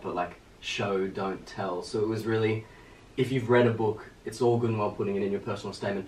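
A young man from Australia, a law student speaking in a relaxed, measured way in a casual interview, recorded by a distant camera. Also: lowish voice. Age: young adult. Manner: relaxed, measured, trails off quietly. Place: small room with bookshelves, indoors. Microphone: far camera. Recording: roomy sound from a distant camera, faint noise.

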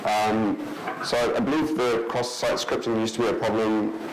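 A man in his thirties answering audience questions at a conference talk, pausing with many ums and restarts. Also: lowish voice, flat delivery, over music. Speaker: conference speaker. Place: large lecture hall. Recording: noisy hall recording.

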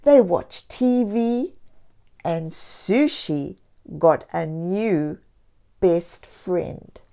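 A woman storyteller reading a picture book aloud to young children, expressively and deliberately, with encouraging asides. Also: on the low side, creaky, dark tone. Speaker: children's storyteller and channel host.

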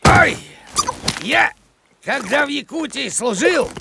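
A man's voice: very high voice, wildly swooping pitch, slow pace, creaky, noisy background, full character voice. A man voicing an officious, pedantic village postman in a cartoon, fussy and bureaucratic. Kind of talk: cartoon voice acting.

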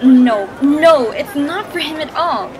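Protective older sister in fantasy audio play female actor, high voice, chatty and scolding, Filipino accent, background noise. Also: expressive, slow pace. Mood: chatty, playful. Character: bossy, protective teenage big sister, magician's apprentice. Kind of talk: fantasy audio drama acting. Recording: noisy with street crowd ambience.

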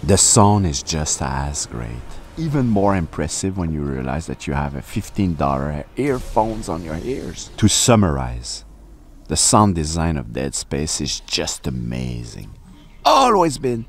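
A man reviews a game he just played, in a casual and self-mocking way. His voice sits very low and swings widely in pitch. He talks slowly, with soft music faintly underneath. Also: big pitch swings. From Canada. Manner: playful, self-mocking, with sudden exaggerated shouted bursts. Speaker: host of game walkthrough channel.

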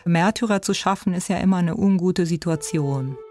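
A woman's voice: fairly low pitch, normal speed, a little mellow, soft background music. A woman giving explanatory interview answers as an academic expert.

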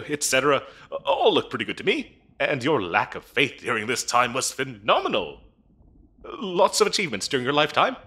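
A man's voice: medium pitch, pushed and bright, exaggerated voice. A man playing a fussy, bureaucratic demon interviewer in a comedic audio roleplay, officious and chatty with hesitant, dryly polite phrasing. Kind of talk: comedic audio roleplay acting, addressing the listener.

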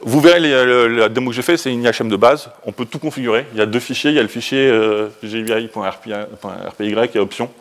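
A man, giving an informal technical talk as a conference speaker, in a casual, colloquial, hurried manner. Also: medium pitch. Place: indoor presentation room, standing by a projection screen.

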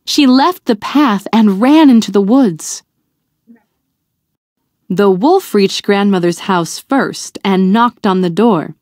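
A woman reading a fairy tale aloud to young children in a deliberate, expressive storytelling manner. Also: medium pitch, no echo, clean studio sound.

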